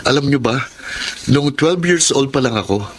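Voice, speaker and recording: A man's voice: mid-range voice, lively intonation, normal speed, a bit bright, slight background noise, close to an everyday voice. A man playing a devoted, grateful young grandson in a Filipino radio drama. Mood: earnest, reflective.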